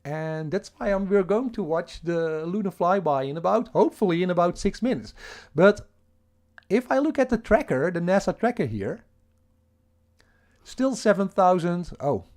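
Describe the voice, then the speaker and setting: A man with a Dutch accent talks loosely to his livestream chat from home, restarting sentences and chuckling as he goes. His voice sits a little on the high side for a man. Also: animated, normal speed, a little mellow. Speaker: livestream host.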